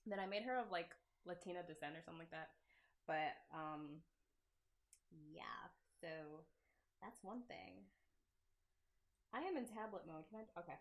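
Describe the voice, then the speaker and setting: A woman's voice: on the low side, moderate pace, creaky, long pauses. A young woman giving casual, rambling and self-deprecating commentary as she plays through a game, with a giggly manner. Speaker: game developer hosting a playthrough. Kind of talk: casual let's play commentary. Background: game music.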